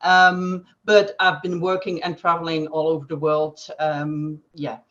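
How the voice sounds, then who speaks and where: A woman from Belgium with a Flemish accent, explaining things as an interview guest in halting phrases with frequent pauses. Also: sits low, level tone, fairly bright tone. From Belgium, Flanders. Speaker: guest digital twin consultant. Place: online webcast. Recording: dull, band-limited web call audio.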